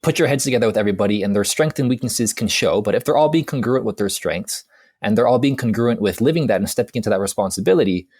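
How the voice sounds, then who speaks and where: A young man from Hawaii with a low voice tells his story in a loose, rambling way, full of fillers and self-deprecating asides. The recording is dry and close, with no room sound. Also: fast talker, darkish tone, husky, no echo. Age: 20s. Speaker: guest, mindset coach. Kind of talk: casual interview chat.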